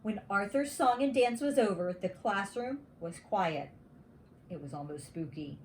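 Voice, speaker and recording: A woman reading a bedtime story aloud to young children in an expressive, deliberate teacher's manner. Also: medium pitch, slight background noise.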